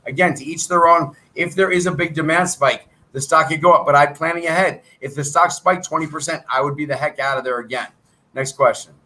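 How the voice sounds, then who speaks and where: A middle-aged man with a high, bright, edgy voice runs a live trading chat. He rattles through numbers in a brisk, salesy patter, thinking out loud as he goes. His voice is wearing thin, as if he is losing it. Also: poor audio. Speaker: trading coach.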